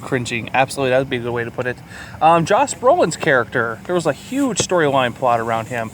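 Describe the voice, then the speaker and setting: Man chatting over loud traffic noise. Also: sing-song, fast talker. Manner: crude joking, profane. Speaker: podcast co-host reviewing a movie. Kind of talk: casual chat, movie review. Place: outdoors or in a car near a highway. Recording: outdoor traffic noise from nearby highway. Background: highway traffic.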